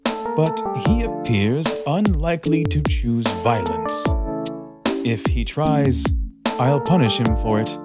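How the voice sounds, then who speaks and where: A man voicing a small, sneaky, wary creature in an audio drama monologue, narrating with smug disdain over loud music. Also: mid-range voice, sing-song, takes it slowly. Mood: scornful.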